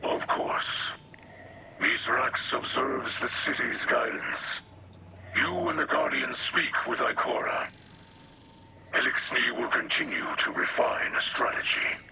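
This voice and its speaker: A man playing a grave, wary alien captain and spiritual leader in a video game, with a breathy rasp and solemn, formal phrasing. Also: mid-range voice, slow pace, noisy background, close to an everyday voice. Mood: solemn warning. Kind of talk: video game character dialogue.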